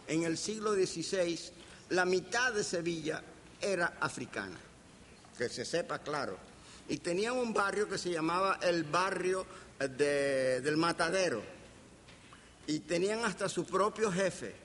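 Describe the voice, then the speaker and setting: A reverberant hall carries the voice of an older male professor with a Caribbean Spanish accent, over some faint noise. His voice sits a little high for a man. He lectures slowly and deliberately, with pauses and playful, anecdotal turns. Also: a bit bright. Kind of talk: anecdotal academic lecture to an audience. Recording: reverberant hall, background hiss.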